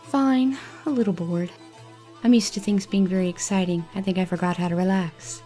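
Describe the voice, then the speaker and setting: A woman playing an easygoing, affectionate adventurer in an amateur audio drama, speaking casually and with gentle playfulness. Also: medium pitch, fairly even intonation, normal speed, faint music behind, natural voice. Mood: frank, caring.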